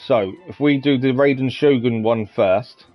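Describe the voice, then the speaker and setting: Male game streamer, casual commentary, thinking out loud, hesitant, muffled lo-fi mic, music behind. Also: medium pitch, measured pace. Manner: musing aloud, hesitant. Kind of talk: casual live gameplay commentary.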